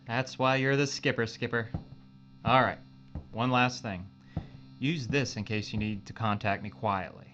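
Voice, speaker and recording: A man's voice: medium pitch, fairly even intonation, measured pace, a bit bright, muffled recording, soft background music, lightly acted. A man playing a loyal, wry spaceship crewman and tinkerer in an audio drama, talking casually. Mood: wry, practical.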